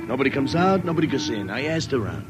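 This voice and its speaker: A man's voice: mid-range voice, very animated, fast, lightly acted. Brash dim-bulb sidekick, played by a man, slangy and flirtatious; vintage radio sound, music bed. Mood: cocky. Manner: flirtatious, wisecracking, streetwise slang. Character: dim-witted cocky male sidekick. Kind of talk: 1950s radio drama acting. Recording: old radio broadcast with loud music.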